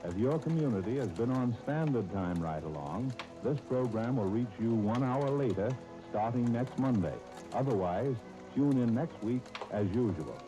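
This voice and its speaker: A man's voice: medium pitch, animated, moderate pace, few pauses, music in the background, rough recording. A man reading scripted commercials and announcements as a 1930s radio announcer, on a vintage broadcast disc.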